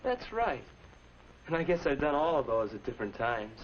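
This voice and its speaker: A man's voice: medium pitch, sing-song, moderate pace, close to an everyday voice. Man acting anxious teenage schoolboy, 1950s educational film, dull noisy soundtrack, deferential, earnest, swooping intonation. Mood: polite, subdued. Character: anxious, earnest, polite high school boy.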